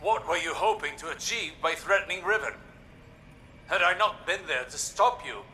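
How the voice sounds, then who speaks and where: An actor playing an earnest, scholarly older male warlock in a video game, speaking in a deliberate, philosophical way. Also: a little high, animated, sharp tone, a little hiss or hum. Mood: reproachful. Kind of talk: dramatic video game dialogue.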